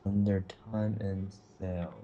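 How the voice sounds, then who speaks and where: A man's voice: sits low, level tone, unhurried, narrow, tinny audio, slight background noise. A man coaching a student through a trading setup on a call, talking loosely with lots of fillers and the odd swear. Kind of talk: casual walkthrough on a call.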